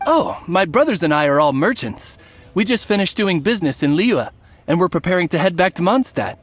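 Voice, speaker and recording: Animated sly bandit merchant. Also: male voice, high voice, brisk. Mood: friendly pitch. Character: smooth-talking male bandit posing as friendly merchant. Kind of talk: video game voice acting.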